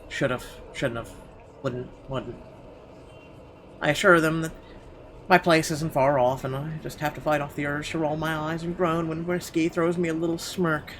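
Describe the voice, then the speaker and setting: A man's voice: mid-range voice, a bit bright. A man hosting a gaming video, reading visual novel narration dramatically and breaking into irreverent, sweary asides. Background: game music.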